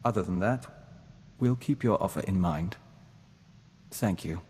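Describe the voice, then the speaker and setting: A calm male diplomat bonded to a hive, with a low voice and measured, formal delivery. He sounds earnest, like scripted game dialogue, in a long reverb with some background noise.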